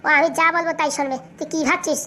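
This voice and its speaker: A woman's voice: extremely high pitch, fairly even intonation, fast talker, pushed and bright, slight background noise. A woman playing a cocky, scheming young woman in a comic cartoon, brash and boastful. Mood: bossy, menacing.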